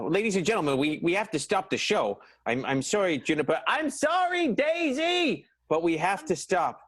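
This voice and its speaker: Picture a flustered radio-play theatre owner, voiced by a man, tripping over every word and repeating himself in alarm. His pitch leaps up and down in wide, lively swings. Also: no echo. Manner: stammers and repeats words. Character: flustered stammering male theatre owner, grieving. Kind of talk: improvised radio play acting.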